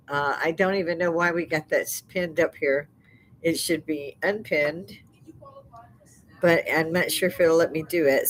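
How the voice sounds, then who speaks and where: An older woman hosting a casual live stream. She has a loud speaking voice and talks in a loose, chatty way, laughing often. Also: low voice, a little hiss or hum, poor audio. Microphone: desk microphone.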